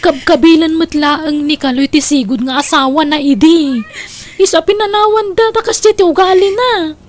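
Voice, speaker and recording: A woman's voice: sits high, moderate pace, lightly acted. A woman playing an outspoken, indignant friend who advises bluntly in an audio drama, with an Ilocano accent. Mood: indignant, concerned.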